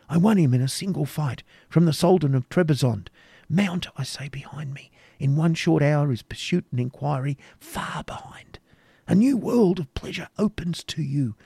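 A proud knight templar, voiced by a man reading aloud, urging and hissing in a raised, gravelly tone. He swings between hushed pleading and fierce declarations. The storybook reading is close and dry. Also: on the high side, no echo, voice pushed up, heavily acted.

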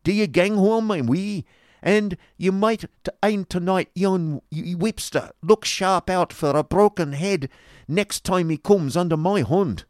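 Angry Yorkshire countryman, storybook character, high-pitched, loud, blunt, blustering, emphatic. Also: unhurried, no room sound, studio quality. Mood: furious, stern. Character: huge angry young Yorkshireman, blunt and stern. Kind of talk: dramatised novel reading.

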